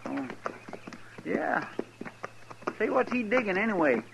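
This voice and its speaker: An actor playing a chatty, nosy American sidekick to a marshal in a 1950s radio western, with a folksy drawl. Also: male voice, high voice, big pitch swings, slow, gravelly, full character voice. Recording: muffled, hissy vintage radio recording.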